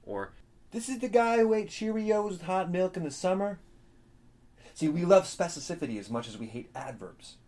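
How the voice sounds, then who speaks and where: A man's voice: sits high, animated, normal speed, darkish tone. A man acting as a snide, defensive student editor who narrates in a wry, wordy, mock-earnest way for a satirical radio play.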